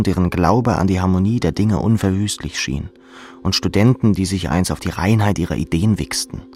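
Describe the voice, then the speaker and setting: A man with a gravelly voice narrating a literary audiobook in the second person. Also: fairly low pitch, darkish tone. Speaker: audiobook reader.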